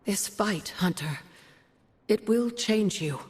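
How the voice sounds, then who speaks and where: A woman playing a gentle, solemn, cryptic witch mentor in a video game. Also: medium pitch, expressive, takes it slowly, husky. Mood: solemn, tender. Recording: long echoing reverb.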